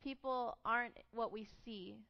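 A woman with a Salvadoran accent preaching a conversational sermon with gentle humor. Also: fairly high pitch, a little slow, lo-fi sound.

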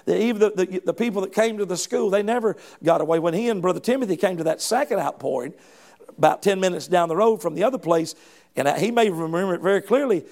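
A man's voice: high-pitched, quick pace, vocal fry, darkish tone. A middle-aged man preaching a sermon in a fervent, folksy way, often restarting phrases and calling out "come on.".

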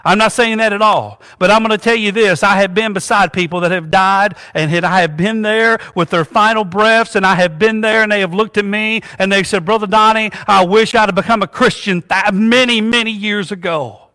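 A male pastor preaches in a church, prompting his congregation with "amen?" and asking them to finish his lines. His voice sits high and rings bright. Long reverb from the sanctuary trails each phrase. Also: a little fast, hardly any pauses. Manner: call-and-response preaching, asks congregation "what?" and "amen?". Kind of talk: sermon with prayer.

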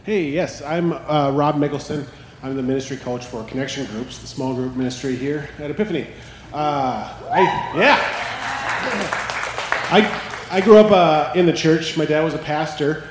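A man with a Canadian accent, a ministry coach, telling his story during a sermon in a relaxed way. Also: on the high side, normal speed, a crowd in the background, background noise. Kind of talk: co-teaching a sermon. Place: church sanctuary. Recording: echoey hall, faint ambience.

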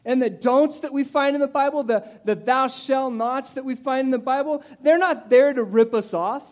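A man in his forties preaching a sermon in a conversational, animated way, with asides to the listeners and some restarts. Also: moderate pace. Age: 40s. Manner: animated, conversational preaching with rises in pitch and volume. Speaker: pastor.

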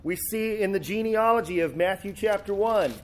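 A man preaching a sermon and reading scripture, talking easily with some fillers, a little hoarse from a cold. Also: sits high, moderate pace, low-quality recording. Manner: relaxed, conversational, with fillers and restarts. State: sore throat and a cold. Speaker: pastor. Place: church sanctuary.

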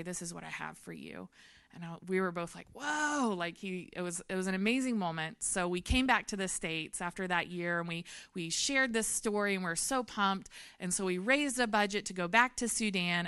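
Woman, 30s, missionary at a church; earnest testimony, dry close sound, slightly low. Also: pushed and bright, smooth, no echo. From Memphis, Tennessee. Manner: earnest, laughs often, many fillers. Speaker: missionary speaker. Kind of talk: personal testimony to a congregation.

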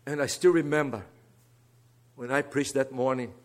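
A man from Brazil with a Brazilian Portuguese accent, a guest preacher and seminary teacher giving an earnest sermon that moves between soft asides and emphatic rises. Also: mid-range voice, steady tone, moderate pace.

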